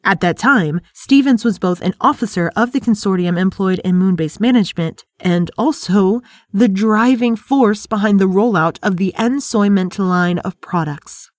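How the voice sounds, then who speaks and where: A woman acts as an investigative podcast reporter in an audio drama. She narrates gravely at a middle pitch, with measured, dramatic pauses, in a very dry, close recording. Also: no room sound, close to an everyday voice. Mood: probing, pointed. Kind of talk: scripted narration.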